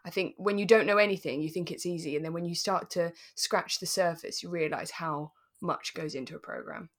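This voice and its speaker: A woman's voice: lowish voice, a little fast. A British woman chatting casually and enthusiastically as a podcast co-host.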